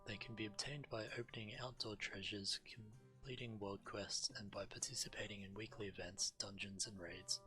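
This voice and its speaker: Low, very bright-voiced male game streamer. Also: fairly even intonation, normal speed, hardly any pauses. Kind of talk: game playthrough commentary and reading quest text aloud. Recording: game music faintly behind. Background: video game music.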